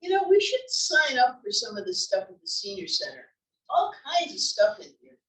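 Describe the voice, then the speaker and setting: A woman's voice: a little high, wildly swooping pitch, moderate pace, a bit bright. A woman reading the part of a cheerful, adventurous older wife in a comedy play. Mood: upbeat, playful. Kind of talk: table read of a comedy play. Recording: roomy, distant library table-read recording.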